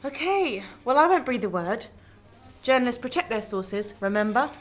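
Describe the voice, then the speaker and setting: A woman's voice: a little high, lively intonation, moderate pace, close to an everyday voice. A woman acting in a radio drama as a wary but eager journalist, full of questions. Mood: wary, intrigued. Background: music.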